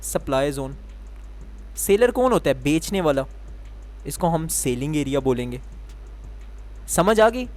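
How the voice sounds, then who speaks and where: Male trading teacher, sore throat, slightly high voice, question-and-answer explaining. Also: darkish tone. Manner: explains step by step, asking the viewer questions and answering them himself. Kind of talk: explanatory lesson.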